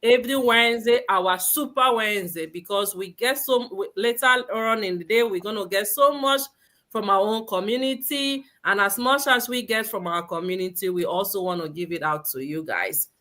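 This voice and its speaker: A woman's voice: mid-range voice, normal speed, pushed and bright. A woman from Nigeria hosting a live online webinar, upbeat and exhortative, asking many questions of the audience. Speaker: host. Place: lives in Sweden.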